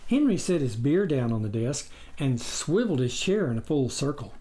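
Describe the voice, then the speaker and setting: A man reading a story as scripted narration, in a deliberate, expressive audiobook style. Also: medium pitch. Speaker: author reading own memoir.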